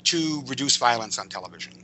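An older American man speaking as an interview guest, reminiscing in a discursive, halting way and often correcting himself. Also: medium pitch, animated, bright, forceful tone, slight background noise, low-quality recording. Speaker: podcast guest, veteran writer.

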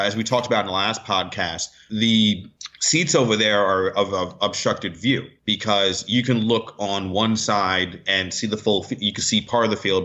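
Male radio guest, sports analyst, lower pitch, bright edge, explanatory and chatty, lo-fi sound.

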